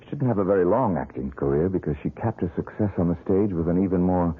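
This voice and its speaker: An actor playing a gloomy, bitter, haunted painter in a 1970s radio drama, with a brooding, self-deprecating, halting manner. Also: male voice, low voice, phone-quality sound, slight background noise, lightly acted. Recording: thin, band-limited 1970s radio broadcast, music behind.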